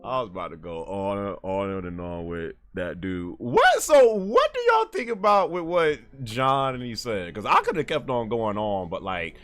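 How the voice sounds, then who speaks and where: A man hosting a livestream and taking callers, talking in casual chat, animated and full of fillers and restarts. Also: on the low side, unhurried, hardly any pauses, soft background music. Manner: animated, laughs often, many fillers and restarts.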